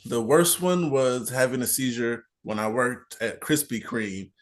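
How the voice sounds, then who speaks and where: A man's voice: mid-range voice, unhurried. A man in casual podcast chat, telling his own stories in a laid-back way and giving short agreeing replies.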